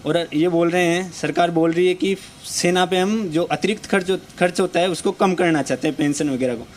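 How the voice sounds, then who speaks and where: A man's voice: high voice, a little fast, a little hiss or hum. A man in his twenties, an army aspirant, speaking passionately and pleading in a street interview. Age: 20s. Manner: impassioned, pleading.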